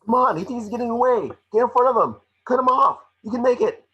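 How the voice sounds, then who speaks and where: A man's voice: very high voice, animated, fast talker, husky, muffled recording, exaggerated voice. A man playing a small, cocky, wisecracking creature detective, snarky and bossy, in an amateur script reading. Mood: excited, urgent.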